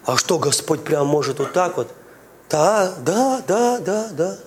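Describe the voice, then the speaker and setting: A man preaching a sermon as pastor, lively and questioning the congregation, at times speaking in tongues. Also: fairly high pitch, unhurried, a little hiss or hum.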